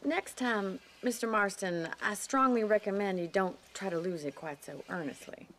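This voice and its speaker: A woman's voice: medium pitch, normal speed, fairly bright tone, slight background noise, natural voice. A woman voicing a spirited young rancher in a game cutscene, forthright and teasing in her plainspoken talk. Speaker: ranch owner. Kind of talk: video game cutscene dialogue.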